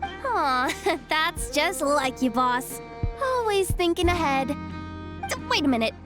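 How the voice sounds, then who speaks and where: A whiny, eager young assistant. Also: female voice, high-pitched, very animated, slow, over music, full character voice. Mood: pleading. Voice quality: whiny, earnest. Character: eager young woman assistant, pestering her boss. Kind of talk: video game voice acting.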